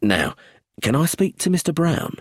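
A man voicing an abrupt, measured police chief inspector in an audiobook. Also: a little high, sing-song, breathy edge, dry recording, clean studio sound, voice pushed up. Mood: calm. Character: calm, brisk male police chief inspector.

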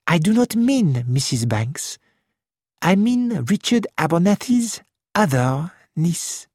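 A woman's voice: lowish voice, very animated, slow, darkish tone, breathy edge, no echo, studio quality, full character voice. A woman reading the part of a fussy elderly male detective with a French-flavoured manner, polite and precise. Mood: assured.

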